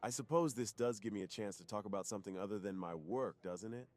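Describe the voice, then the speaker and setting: A man playing a secretive, polite undercover superhero who is shyly smitten, speaking earnestly and softly in an intimate ASMR roleplay. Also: fairly low pitch, fast, close to an everyday voice. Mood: apologetic, friendly.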